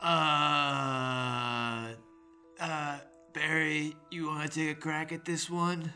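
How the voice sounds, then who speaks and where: Man as a slow, glum, hapless wizard. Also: on the high side, fairly even intonation, pushed and bright, heavily acted. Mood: flustered. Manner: hesitant, halting, glum. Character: Burblin, morose less-talented brother of wizard Merlin. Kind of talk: improvised comedy radio play. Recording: music behind the voice.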